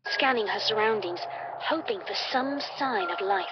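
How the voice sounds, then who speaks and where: A woman's voice: medium pitch, expressive, moderate pace, background noise. A woman reading a scripted story as a dramatic narrator. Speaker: fan fiction reader. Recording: muffled lo-fi playback. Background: music.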